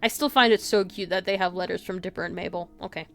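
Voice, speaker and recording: A woman's voice: fairly low pitch, fairly even intonation, fast talker, vocal fry, fairly bright tone, faint music behind. A woman livestreamer reading a visual novel aloud with playful, casual commentary. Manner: playful, giggly asides. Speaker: game livestreamer.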